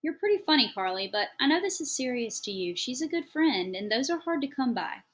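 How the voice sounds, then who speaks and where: A woman reading the part of a caring but strained foster mother in an audiobook, gently coaxing. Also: on the high side, expressive, brisk, slightly rough, pitched above the performer's own voice. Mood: encouraging. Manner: gentle, coaxing, sharpens when upset. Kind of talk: audiobook character voice.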